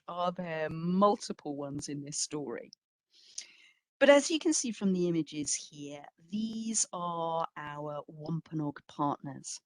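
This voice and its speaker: A woman delivers a history lecture at a very unhurried pace, in a slightly low voice. She stresses key phrases and puts questions to her listeners as she goes. The sound is dry and close, with little room around it. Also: no echo. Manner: measured, emphatic phrasing with rhetorical questions. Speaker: exhibition curator giving a history talk. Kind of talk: public lecture, presenting to an audience with slides.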